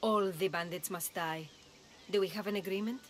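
Woman acting a mid-pitched, formal Greek priestess. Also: normal speed, close to an everyday voice. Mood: earnest, resolute. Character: stern, devout priestess of an ancient Greek temple. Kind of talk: video game character acting.

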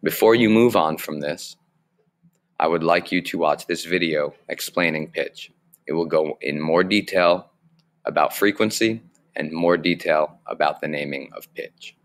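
A young man with a low voice explains music basics slowly and clearly, teaching a recorded online lesson from a small room on his webcam mic.

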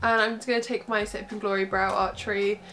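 A woman's voice: medium pitch, fast, soft background music. Stuffy-nosed young woman vlogging. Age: young adult. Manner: chatty, relaxed, giggly asides. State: congested from a cold. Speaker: makeup vlogger. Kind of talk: casual makeup tutorial. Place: small bedroom, indoors. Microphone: camera close in front.

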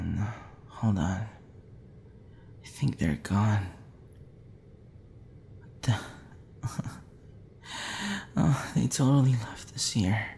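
A man playing a tipsy, smitten young man in an intimate ASMR roleplay, shy, tender and halting in a hesitant murmur. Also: on the low side, gravelly, mellow, a little hiss or hum, voice pushed down. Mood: surprised, amused.

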